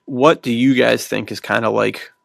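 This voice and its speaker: A man's voice: mid-range voice, fairly even intonation, moderate pace, creaky, a bit bright, dry recording, studio quality. A man in his twenties hosting a podcast in a casual, rambling, self-deprecating way with small chuckles. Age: 20s. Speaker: podcast host and fiction writer. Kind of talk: casual conversational podcast chat.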